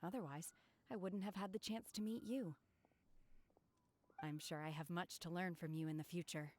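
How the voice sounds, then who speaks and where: Low-voiced, composed, formal young woman played by an actress. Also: normal speed, darkish tone, close to an everyday voice. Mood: softly sincere. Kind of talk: video game voice acting. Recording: faint background noise.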